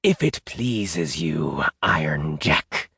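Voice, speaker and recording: A man voicing a pompous, needy, menacing jackal trickster in an audiobook, theatrical and drawn-out, with a raspy, gravelly voice. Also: very deep voice, lively intonation, sharp tone, no room sound, clean studio sound, voice pushed down, exaggerated voice. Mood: pompous, threatening. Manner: theatrical, drawn-out grandiose threats, sudden petulant outbursts. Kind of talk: audiobook character voice.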